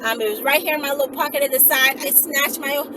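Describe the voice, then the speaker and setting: A woman telling a story casually to her viewers in an animated, emphatic, sweary manner. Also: high voice, fast. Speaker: channel host. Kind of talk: casual self-defense storytelling, acted-out scenario. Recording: distant mic with clear background noise.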